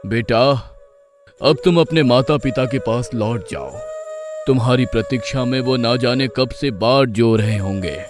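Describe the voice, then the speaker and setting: A man voicing a kindly old village guru in a moral-story cartoon, calm, formal and benevolent. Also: medium pitch, measured pace, over music, natural voice. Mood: solemn, proud.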